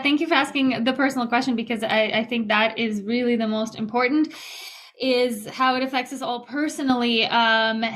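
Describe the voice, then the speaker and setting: Earnest woman from Ukraine, halting speech. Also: a little high. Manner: earnest, halting with many restarts and fillers. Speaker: guest musician. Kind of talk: remote interview conversation. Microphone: video call.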